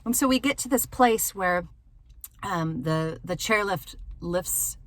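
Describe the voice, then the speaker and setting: Lady with a slightly low voice chatting to viewers in her car, animated storyteller, kinda giggly. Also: takes it slowly. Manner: animated, giggly, acts out dialogue. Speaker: host of a storytelling vlog. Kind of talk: casual personal storytelling to viewers. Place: inside a parked car.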